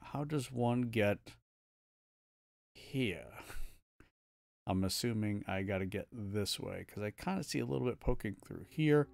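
A man's voice: fairly low pitch, unhurried, gravelly, long pauses, a little mellow, no room sound, clean studio sound. A man giving casual live gameplay commentary, thinking aloud in short, slangy remarks. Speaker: video game streamer. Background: game music.

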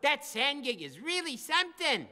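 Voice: high-pitched, very animated, moderate pace, bright, forceful tone, in a large hall, full character voice. An actor voicing a wisecracking, cowardly cartoon scorpion sidekick, folksy, slangy and exclamatory. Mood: nervous, wisecracking. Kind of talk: comic cartoon acting.

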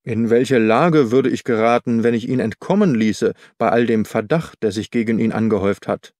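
A man's voice: mid-range voice, moderate pace, darkish tone, no echo, studio quality, lightly acted. A man voicing a solid, worried, deferential police inspector in an audiobook, earnest and plain-spoken. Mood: anxious.

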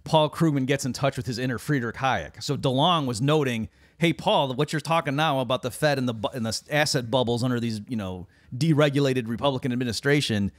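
A middle-aged man talking economics in a casual discussion, speaking from a small room into a boom-arm studio mic on a video call. He is animated and mocks the people he quotes by lifting his voice. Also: fairly quick, hardly any pauses, no echo. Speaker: economist guest.

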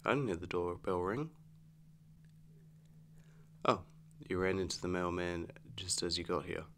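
A weary, wry werewolf boyfriend acted by a man in an ASMR roleplay. His voice sits very low and he takes his time, halting and casual as he goes. A noticeable hum runs under the recording. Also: fairly bright tone. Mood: relaxed, curious. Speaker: roleplay voice actor. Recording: clearly audible background hum.

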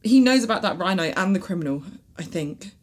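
A woman playing a sensible, exasperated young householder in an audio drama, brisk and argumentative. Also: on the low side, lively intonation, darkish tone. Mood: exasperated, firm. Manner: brisk, argumentative, matter-of-fact.

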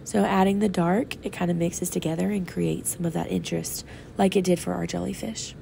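Congested woman teacher with a gravelly voice. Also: on the low side, level tone, a little fast, dark tone, a little hiss or hum. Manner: patient, instructive, talks while working. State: sick, congested and sore-throated. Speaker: art teacher. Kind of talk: step-by-step art demonstration for young kids. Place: classroom table. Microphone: off-camera voice over an overhead tabletop camera.

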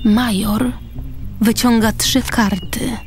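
A woman's voice: on the high side, fairly even intonation, takes it slowly, a little hiss or hum. A woman reading scripted present-tense narration for a radio play. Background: music.

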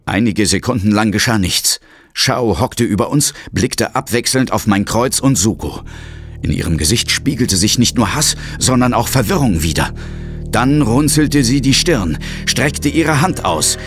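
A man's voice: mid-range voice, animated, unhurried, natural voice. An actor playing an intense ghost hunter who narrates his own peril in a horror audio drama. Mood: suspenseful. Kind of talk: scripted first-person horror narration. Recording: dramatic music underneath.

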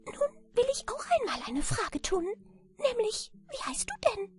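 Lady doing a teeny grumpy old storybook man, super high squeaky voice, thin and piping, bossy and impatient. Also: sing-song, unhurried, heavily acted. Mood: brusque. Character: tiny, cranky, greedy old bearded goblin-like little man. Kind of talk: children's fairy tale reading.

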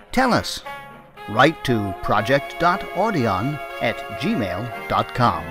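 A man gives a scripted sign-off as a show announcer. He speaks slowly, with wide swings of pitch, over prominent music.

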